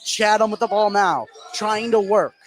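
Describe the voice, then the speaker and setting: A man's voice: high voice, fairly even intonation, normal speed, faint music behind. A man doing live play-by-play basketball commentary on the radio, narrating in short clipped phrases. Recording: noisy gym ambience behind. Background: ambient noise of a basketball game.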